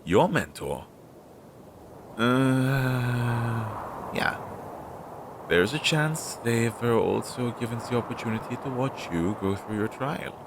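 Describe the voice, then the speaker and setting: A man's voice: animated, slow, long pauses. A man playing an anxious, self-doubting magical familiar in an audio roleplay, speaking hesitantly and fretfully to his master. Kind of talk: scripted audio roleplay, one-sided dialogue. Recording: low-fidelity audio with clear background noise.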